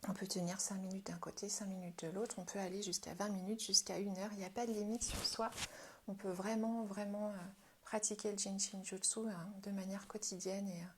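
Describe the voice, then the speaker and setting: Female wellness instructor, mid-pitched, breathy and often near-whispered, gently inviting listeners through a guided practice. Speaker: Jin Shin Jyutsu self-help instructor. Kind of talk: guided practice lesson, spoken informally.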